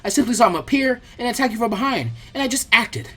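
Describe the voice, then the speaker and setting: A storyteller voicing an earnest, over-excitable teenage boy martial artist who speaks in formal, emphatic declarations. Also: mid-range voice, fast, slightly rough, pitched above the performer's own voice. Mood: frantically apologetic.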